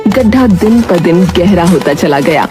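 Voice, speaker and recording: A woman narrating a cartoon story in a steady, measured way. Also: lowish voice. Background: background music.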